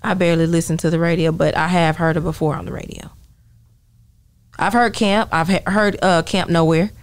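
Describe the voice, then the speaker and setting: A woman's voice: fairly low pitch, fairly even intonation, a little fast. A young adult woman, an interview guest chatting casually and agreeing in brief replies. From East Texas, US. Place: indoor podcast studio. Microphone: close studio microphone.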